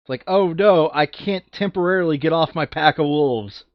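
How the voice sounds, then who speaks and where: A man's voice: a little high, normal speed, no echo. A man chatting casually and jokily as he plays a tabletop game. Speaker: board game player. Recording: dull lo-fi sound.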